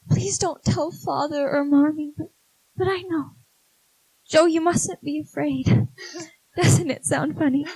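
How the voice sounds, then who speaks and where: Gentle dying little sister, high voice, slow and halting, old radio play style, music behind. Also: female voice, low-quality recording. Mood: tender, pleading. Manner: tender, halting, earnest. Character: gentle, dying younger sister, fearful yet accepting. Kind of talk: 1940s-style radio drama acting. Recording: live stage recording with music behind.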